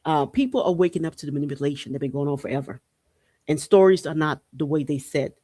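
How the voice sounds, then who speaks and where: A woman's voice: low voice, expressive, fast, mellow, dry recording. A woman from Haiti giving an informal podcast monologue in an emphatic, repetitive manner, punctuated with rhetorical questions. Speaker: podcast host. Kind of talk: spiritual and political monologue, informal talk.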